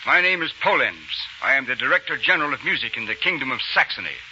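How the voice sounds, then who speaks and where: An actor playing a formal, courteous royal music director in an old radio drama. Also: male voice, medium pitch, sing-song, quick pace, bright, forceful tone. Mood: courteous, interested. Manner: formal, polite. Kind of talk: 1940s radio drama acting. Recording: dull old radio recording, faint noise.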